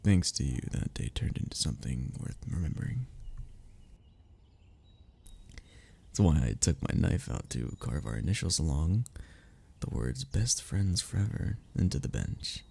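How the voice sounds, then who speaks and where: A man's voice: rumbling low, big pitch swings, moderate pace, creaky, warm tone, husky, full character voice. A man playing a sentimental, teasing young man in an ASMR roleplay, talking intimately and conversationally to a childhood best friend. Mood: reflective storytelling. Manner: intimate, conversational, with sighs and chuckles. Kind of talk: ASMR roleplay audio drama.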